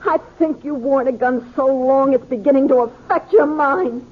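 Very high pitched frontier wife played by a woman, scolding, upset, tinny old radio drama. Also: normal speed, close to an everyday voice. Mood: angry scolding. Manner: scolding, emotional. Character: distraught, sharp-tongued frontier wife and mother. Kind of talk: 1950s radio western acting. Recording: tinny, faintly noisy old radio recording.

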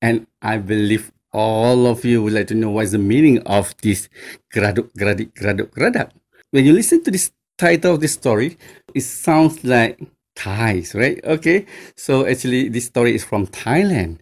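Man, mid-pitched, careful pace, lots of ums and uhs. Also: animated, darkish tone. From Malaysia. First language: Mandarin. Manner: deliberate, with frequent fillers. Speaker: storyteller guest. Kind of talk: interview answers.

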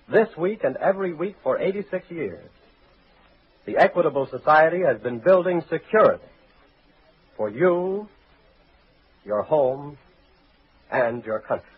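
A man's voice: a little high, sing-song, normal speed, long pauses, breathy edge. An American man reading a sponsor message as a 1940s radio announcer, in a storytelling style. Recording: tinny 1940s radio recording.

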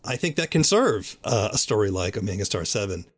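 A man hosting an interview, asking questions conversationally in a measured, thoughtful way. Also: fairly low pitch, sing-song, husky. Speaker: podcast interviewer.

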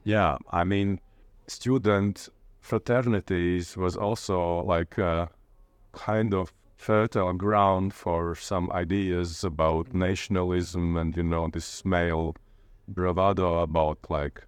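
A man's voice: low voice, fairly even intonation, takes it slowly, clean tone, dry recording. A man with a Latvian accent giving informal interview answers in a hesitant way, often groping for words. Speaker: interviewee, playwright and literature lecturer.

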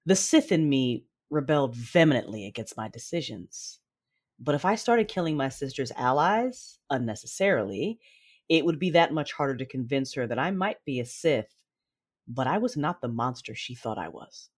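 A woman playing a conflicted, commanding Sith noblewoman in an audio drama, measured and controlled, with dramatic delivery. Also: very deep voice, very animated, breathy edge, full character voice. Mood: reflective narration. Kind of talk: first-person audio drama narration and dialogue. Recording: music behind the voice.

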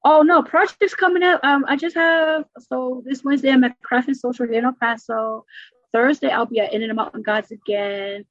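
A middle-aged woman with a New York accent, a stand-up comedian chatting in an interview and telling stories quickly and animatedly. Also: high voice, dry recording, dull audio. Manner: fast, animated storytelling with laughs. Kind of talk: casual interview chat.

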